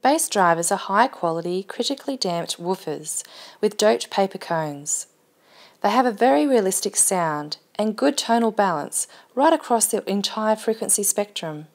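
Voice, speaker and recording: A woman's voice: mid-range voice, animated, normal speed. Woman narrating a product video.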